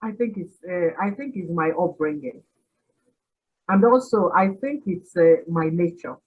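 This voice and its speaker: A Nigerian woman in her sixties, an Igbo speaker, telling her story as a podcast guest with frequent pauses and laughter. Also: lowish voice, slightly rough, narrow, tinny audio. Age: 60s. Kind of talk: reflective interview answer.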